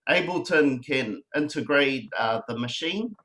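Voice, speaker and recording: A man in his thirties with a New Zealand accent, chatting casually as a guest about making beats, relaxed and rambling with many fillers. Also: mid-range voice, unhurried, low-quality recording. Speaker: beatmaker guest. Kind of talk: casual online chat.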